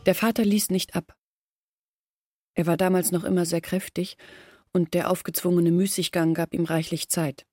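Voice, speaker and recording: A woman's voice: on the low side, little pitch movement, normal speed, a little mellow, no room sound, close to an everyday voice. An actress playing a poor village woman confessing her family's hopeless decline in a literary radio drama monologue. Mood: sober, burdened. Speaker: narrating character in an audio drama. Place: dry studio.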